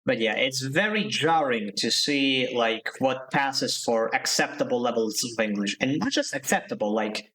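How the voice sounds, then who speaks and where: A young man, his voice sitting a little on the high side. He streams casually and gets fired up, swearing freely and stumbling over restarts as he rants. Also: a bit bright, poor audio. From Slovakia. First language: Slovak. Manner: heated ranting with profanity and restarts. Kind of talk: casual live-stream commentary.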